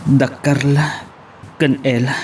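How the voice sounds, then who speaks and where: A man's voice: medium pitch, flat delivery, takes it slowly, close to an everyday voice. A man with an Ilocano Filipino accent playing a remorseful, sorrowful husband in a brooding audio drama monologue. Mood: anguished, regretful. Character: remorseful, grieving husband brooding over his sins. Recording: faintly noisy track with ambience.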